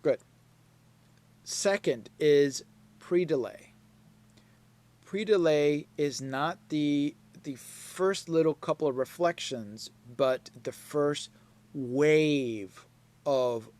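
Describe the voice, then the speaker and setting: A man teaching a live mixing class, explaining slowly and checking in with his students as he goes. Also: a little high, darkish tone. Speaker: mixing instructor. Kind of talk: live online class lecture.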